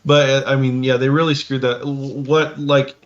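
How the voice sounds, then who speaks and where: A man co-hosting a podcast in casual chat, speaking haltingly and often checking for agreement. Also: medium pitch, level tone. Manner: halting, seeks agreement with tags. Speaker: podcast co-host giving reactions. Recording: slightly dull, lo-fi audio.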